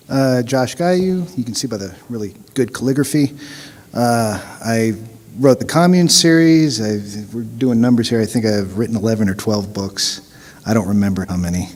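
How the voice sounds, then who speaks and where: A man's voice: fairly low pitch, darkish tone. A man speaking on a conference panel, joking and self-deprecating. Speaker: panelist author. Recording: echoey hall with long reverb.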